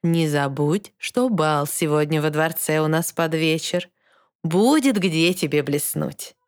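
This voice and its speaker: A woman playing a wise, kindly old mermaid grandmother in a verse audio fairy tale, calm and measured as she explains. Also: low voice, clean tone, no echo, clean studio sound. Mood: soothing.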